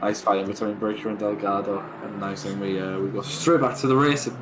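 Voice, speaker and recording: A man's voice: lowish voice, steady tone, a little fast, crowd noise. A British man co-commentating on an online race in casual, joking chat, with a stop-start, hesitant way of talking. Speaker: esports livestream co-commentator. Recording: noisy voice chat on race stream.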